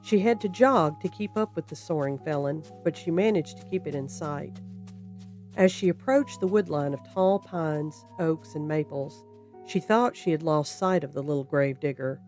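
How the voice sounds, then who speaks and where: Narrator for horror fiction: dramatic, deliberate, suspenseful storytelling over a music bed. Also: mid-range voice, darkish tone.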